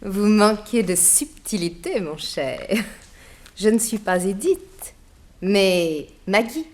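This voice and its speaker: A woman's voice: mid-range voice, lively intonation, slow pace, a little mellow. An actress playing a cruel, gloating female master criminal in an old radio crime serial, mocking and savouring her threats. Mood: mocking triumph.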